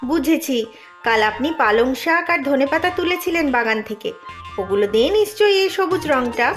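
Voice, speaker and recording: Gentle cartoon mother. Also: sits high, expressive, a little fast, faint music behind. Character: gentle young mother, the daughter-in-law. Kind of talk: Bengali cartoon voice acting.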